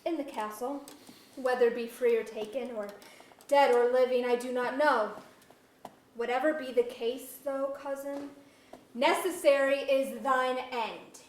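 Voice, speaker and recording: A woman plays an imperious sorceress conqueror, vengeful and high-voiced. Her archaic verse comes slowly and deliberately, from across an echoey auditorium. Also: fairly even intonation, slight background noise. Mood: cold, resolute. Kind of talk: staged reading of archaic verse drama. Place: museum auditorium, staged reading. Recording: echoey auditorium, distant mic.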